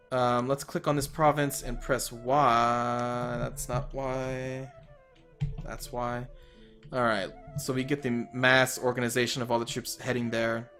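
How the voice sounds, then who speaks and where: Man, mid-pitched, a bit hoarse; casual game commentary, thinking out loud, faint music behind. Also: little pitch movement, slow, long pauses. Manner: thinking aloud, trailing off, self-correcting. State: slightly hoarse, losing his voice. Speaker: let's player.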